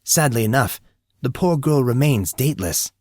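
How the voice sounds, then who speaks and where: A man's voice: mid-range voice, expressive, moderate pace, a little mellow, dry recording, clean studio sound, lightly acted. A man voicing an amused, courteous school employee who speaks formally and precisely, patiently explaining. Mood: dryly amused.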